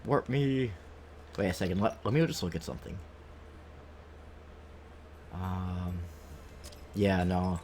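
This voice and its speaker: Low-voiced man casually streaming a game. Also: takes it slowly, long pauses, a little mellow, a little hiss or hum. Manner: rambling, thinking aloud. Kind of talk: casual live gameplay commentary.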